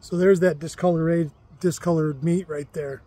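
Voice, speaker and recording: A man's voice: a little high, fairly even intonation, moderate pace, dark tone, slightly rough. A man hosting a fishing show outdoors by a lake, chatting casually and rambling with fillers and restarts. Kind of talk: casual outdoor chat and demonstration. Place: lakeside outdoors.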